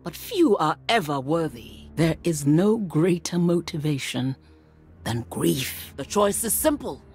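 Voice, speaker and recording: A proud, middle-aged warrior matriarch commands with imperious menace. Her voice is husky and rough-edged, swinging widely in pitch as she delivers each line slowly. It is theatrical video game acting, ruthless and grand. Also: animated, darkish tone, full character voice. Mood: cold, proud. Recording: music under the voice.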